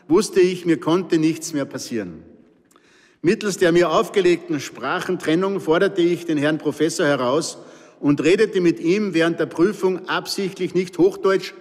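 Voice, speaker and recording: An older man with an Austrian accent reads his memoir in a calm, dry storytelling manner. His voice sits slightly high and is wrapped in a long reverb. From Pinzgau, Austria. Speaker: author reading his memoir. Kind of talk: scripted narration.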